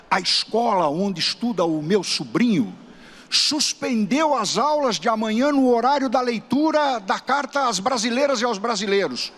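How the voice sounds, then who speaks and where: A very high, bright-voiced man with a Brazilian accent delivers a ceremonial speech. He is declamatory, stacking repeated phrases, and his words ring through a reverberant hall. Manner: declamatory, rhetorical repetition. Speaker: law faculty director. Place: large hall before an audience.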